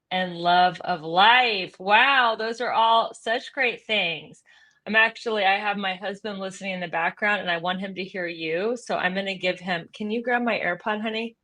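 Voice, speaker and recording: A woman's voice: mid-range voice, pushed and bright. A woman hosting an interview over a video call, chatty and enthusiastic. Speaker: interview host. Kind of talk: casual interview chat.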